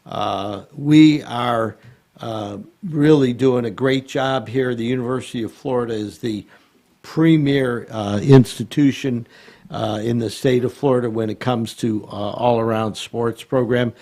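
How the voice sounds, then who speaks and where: A man hosting a casual talk show, speaking haltingly with many filler sounds. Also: mid-range voice, fairly even intonation, dark tone. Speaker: host and law firm founder.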